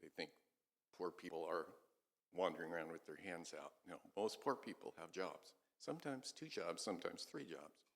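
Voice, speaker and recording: Deep, gravelly man in a reverberant hall. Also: moderate pace. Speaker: class participant. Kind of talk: casual group discussion.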